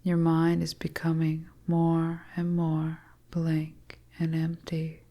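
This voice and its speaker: A woman narrating a scripted hypnotic story to the listener in a breathy, soothing, whispery voice. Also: low voice, completely flat delivery, slow, dark tone. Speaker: audio storyteller.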